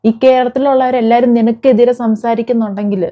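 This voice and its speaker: A woman's voice: on the high side, fairly even intonation, fairly quick, muffled recording. A woman delivering an impassioned, confrontational vlog rant, scolding someone with repeated rhetorical questions. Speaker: vlogger. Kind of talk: impassioned rant addressed to an absent person.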